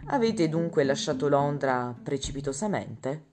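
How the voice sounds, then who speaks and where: A shrewd, probing male police inspector, played by a woman in a low voice that carries a little firmer than her narration. He asks measured, leading questions. Guitar music plays quietly in the background. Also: lively intonation. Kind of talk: audiobook character voice. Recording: guitar music playing underneath.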